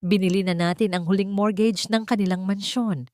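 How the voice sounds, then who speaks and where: A woman's voice: medium pitch, steady tone, normal speed, a little mellow, no room sound, studio quality, natural voice. A woman voicing a loyal male lawyer who reports to his boss in a formal, assured manner for an audio drama. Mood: triumphant.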